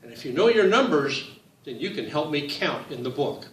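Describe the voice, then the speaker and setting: A man reading his picture book aloud to children, in a slow, sing-song storytime voice that speaks to them directly. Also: a little high, big pitch swings, fairly bright tone, echoey room, distant mic, low-quality recording.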